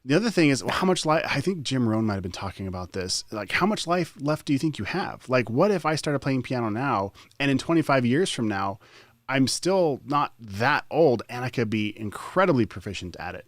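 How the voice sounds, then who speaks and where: An encouraging male co-host on a live online talk show, urging his partner forward and asking prodding questions. The recording is dry, with almost no room sound, and his pitch is a normal middle. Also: big pitch swings, fairly quick, no room sound. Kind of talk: casual live-stream chat.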